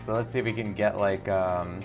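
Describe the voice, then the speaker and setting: A man's voice: on the low side, steady tone, fairly quick, over music. A man hosting a gaming video, giving casual commentary and reading the game's text aloud. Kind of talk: casual game commentary with read-aloud narration.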